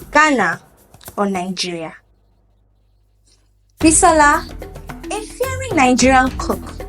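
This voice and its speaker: A woman storyteller narrating a scripted folktale with deliberate, dramatic phrasing. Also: high voice.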